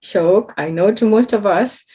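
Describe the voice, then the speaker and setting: A woman's voice: medium pitch, a little slow, mellow, slightly rough, low-quality recording. A woman interviewing a guest, encouraging her and asking simple questions. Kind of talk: casual interview.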